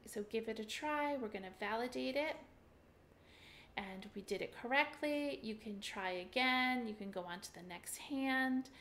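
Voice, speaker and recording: A woman's voice: on the high side, unhurried, fairly bright tone, a little hiss or hum. A middle-aged woman teaching a game on a webcam, walking through each step in an upbeat, chatty way with frequent ums. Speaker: mahjong teacher. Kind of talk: screen-share tutorial walkthrough.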